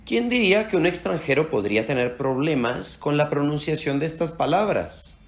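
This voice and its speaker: Man, native Slovak speaker, Spanish teacher, lively rising and falling pitch, slows down on example sounds. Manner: slows down to spell out sounds clearly. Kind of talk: explanatory language lesson.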